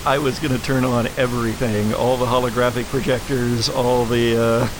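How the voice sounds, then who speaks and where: A man's voice: mid-range voice, flat delivery, normal speed, background noise. A man working as game master, improvising narration for a tabletop story.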